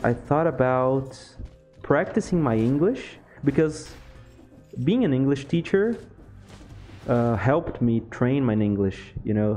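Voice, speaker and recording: A young man from Brazil, a Portuguese speaker with a Brazilian accent, chatting casually over gameplay, relaxed and laughing often. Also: mid-range voice. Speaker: gaming YouTuber.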